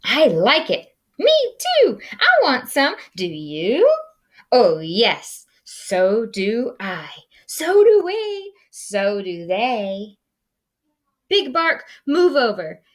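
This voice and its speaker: A woman voicing a timid, excitable crowd of small prairie dogs in a picture book, with exclamatory delivery that swoops from hushed to squealing. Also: on the high side, very slow, voice pushed up, full character voice. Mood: eager.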